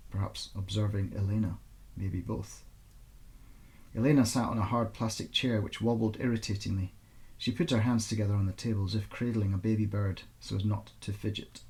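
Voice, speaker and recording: Gravelly-edged man, author reading aloud. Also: lowish voice, steady tone, a little fast, poor audio. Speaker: author reading own novel. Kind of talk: informal introduction, then expressive audiobook-style narration.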